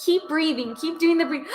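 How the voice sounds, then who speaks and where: Slow, soothing woman guiding breathwork. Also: high-pitched. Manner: slow, soothing, repetitive coaching with loud breathing sighs. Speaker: breathwork guide. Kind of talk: guided breathwork session. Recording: music playing behind.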